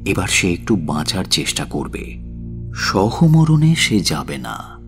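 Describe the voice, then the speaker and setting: A man narrating a literary audio story in a measured, dramatic style. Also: deep voice, sing-song, vocal fry, soft background music.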